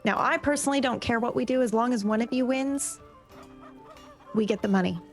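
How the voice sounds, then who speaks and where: A woman playing a tabletop game in character, talking in a quick, persuasive, chatty way. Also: a little high, faint music behind. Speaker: player.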